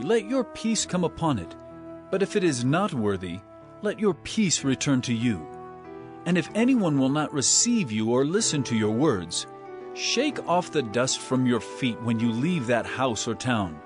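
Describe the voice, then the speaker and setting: A man's voice: mid-range voice, big pitch swings, unhurried. An actor playing an authoritative male teacher and healer, declaiming earnestly in a dramatized scripture reading over music. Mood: earnest instruction.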